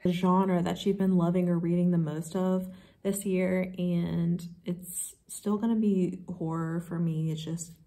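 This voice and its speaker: A woman's voice: on the low side, little pitch movement, measured pace, warm tone. A woman talking casually and unscripted as a book vlogger, in a rambling way, feeling out her phrasing as she goes.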